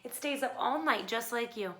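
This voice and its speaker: A woman's voice: fairly high pitch, moderate pace, sharp tone, voice pushed up. A woman voicing a sleepy, firm grown male mouse in a children's story, curt and matter-of-fact. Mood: firm, reassuring. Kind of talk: children's picture-book read-aloud.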